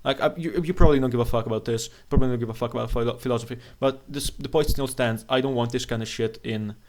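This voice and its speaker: A man giving an unscripted, opinionated rant as a video commentator, blunt, scornful and profane, with a halting, stammering delivery. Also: medium pitch, steady tone, darkish tone.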